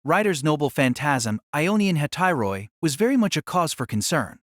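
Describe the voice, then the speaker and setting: A man reading scripted, dramatic novel narration as an audiobook narrator, in a measured, formal way. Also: fairly high pitch, very animated, a bit bright, no room sound, studio quality.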